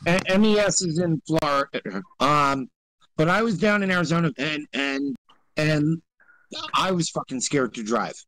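A man's voice: a little high, animated, measured pace, fairly bright tone, low-quality recording. An American man from New York chatting casually in a live audio chat, blunt and given to swearing. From New York, United States.

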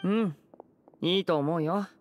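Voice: mid-range voice, a little slow, soft background music, natural voice. An actor voicing a suave, genial young male stage magician in an anime game, light and wry. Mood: wry amusement.

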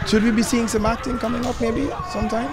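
A young man interviewing a guest, asking questions and encouraging her with steady backchannels. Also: extremely high pitch, fast, crowd noise. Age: young adult. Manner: encouraging, with many "mm-hmm" backchannels. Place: outdoor terrace by a balustrade. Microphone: handheld mic.

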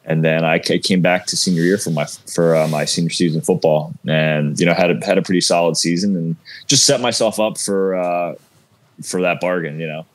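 A man in his thirties with a South Jersey accent, telling his story as a guest over a video call in a relaxed, rambling way. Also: deep voice, fairly quick. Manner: relaxed, rambling, many fillers. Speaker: guest, former athlete. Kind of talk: casual interview storytelling. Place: room at home with shelves.

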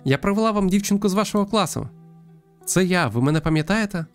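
A man's voice: high voice, expressive, quick pace, faint music behind, pitched above the performer's own voice. A man voicing a spirited, proud schoolgirl who plays basketball, in eager visual novel dialogue.

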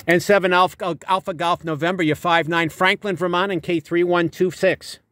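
Older man, amateur radio operator, slightly high voice, dry sound, clipped phonetic call-outs, parked car interior. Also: steady tone, moderate pace, a bit bright, no room sound. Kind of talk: radio contact calling in phonetic alphabet. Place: inside a parked minivan.

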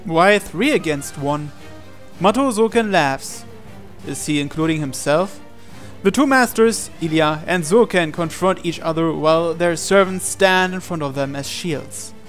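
A man reading a visual novel aloud as a dramatic narration, with deliberate pauses. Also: fairly high pitch. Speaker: gaming channel host. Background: game music.

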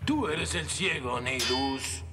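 An actor playing a brave, devout Roman centurion in a cartoon, earnest and resolute. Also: male voice, mid-range voice, animated, slow pace. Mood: defiant. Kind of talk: dramatic cartoon dubbing. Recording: very loud music behind.

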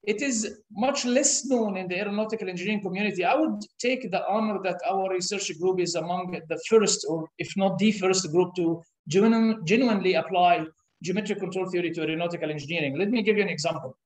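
Egyptian-born professor, 30s, second-language English, slightly high voice, thoughtful, halting; room echo. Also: male voice. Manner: thoughtful, with frequent "uh" pauses and restarts. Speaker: interview guest, professor. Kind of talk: explanatory interview answers. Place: conference room. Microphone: camera across a table. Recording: echoey meeting room.

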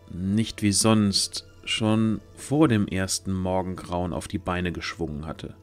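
A man reading scripted narration for a fantasy audio drama. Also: low-pitched. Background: faint music.

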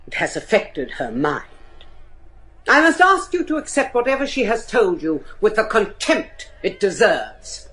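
A woman's voice: medium pitch, big pitch swings, measured pace, a bit bright. A woman acting a stern, cold, older mistress of a manor in a gothic radio play, formal and imperious. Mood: cold, dismissive.

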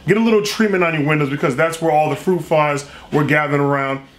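Lively slangy man reviewing food. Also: a little high, fast, reverberant. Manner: lively, slangy, reads his written review aloud with flourish. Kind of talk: casual food review vlog. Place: small restaurant dining room, indoors.

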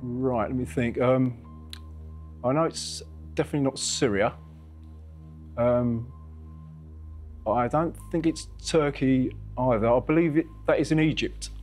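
A man's voice: mid-range voice, a little slow, pauses often, a little mellow, soft background music. A man in his fifties from Kent, a quiz contestant thinking aloud hesitantly with many ums in a TV studio. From Kent, England. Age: 50s. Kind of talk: thinking aloud under pressure. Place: TV studio indoors.

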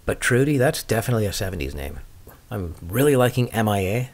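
A man's voice: fairly low pitch, sing-song, fast. A man hosting a skating podcast, chatting casually and ramblingly with dry, wry humour as he answers viewer questions.

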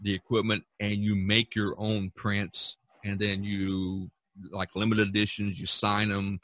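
A man's voice: fairly low pitch, little pitch movement, a little slow, fairly bright tone, dry recording. A man hosting a podcast in a casual, rambling, chuckling way. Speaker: podcast host. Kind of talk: casual chat among artists.

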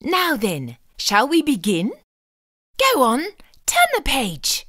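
A woman's voice: high-pitched, huge pitch swings, takes it slowly, fairly bright tone, no echo, studio quality, exaggerated voice. A woman voicing a fussy, neat-freak young male frog who exclaims and frets dramatically in a storybook reading. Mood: coaxing. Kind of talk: storybook read-aloud acting.

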